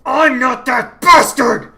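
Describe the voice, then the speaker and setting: A man voicing an angry young mage-swordsman who shouts furiously. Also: sits high, expressive, a little fast, creaky, voice pushed up, exaggerated voice.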